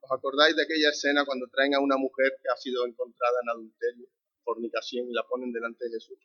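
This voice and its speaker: A man's voice: mid-range voice, completely flat delivery, fast. A man from the Canary Islands with a Canarian Spanish accent, preaching a conversational sermon and putting questions to the congregation. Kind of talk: sermon with a family anecdote. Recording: dull lo-fi recording.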